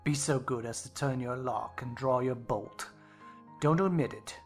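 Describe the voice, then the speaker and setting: A woman's voice: a bass voice, a little slow, faint music behind, pitched below the performer's own voice. A woman voicing a grim, surly country master, brooding and vengeful, growling with menace in a dramatized novel reading. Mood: ominous.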